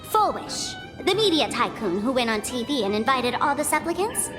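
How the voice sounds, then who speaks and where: A woman's voice: high voice, very animated, moderate pace. A woman voicing a playful, scheming young trickster in a video game, with a teasing, sing-song, theatrical delivery. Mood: coy, inquisitive. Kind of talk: English video game voice acting. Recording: music playing behind the voice.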